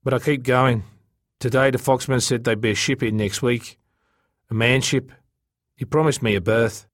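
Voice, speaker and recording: A man's voice: mid-range voice, fairly even intonation, moderate pace, no room sound, clean studio sound, lightly acted. A man voicing a weary, stubborn spaceman, firm and plain-spoken, in an audiobook story. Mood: determined. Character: weary, stubborn spaceman, still hoping to leave.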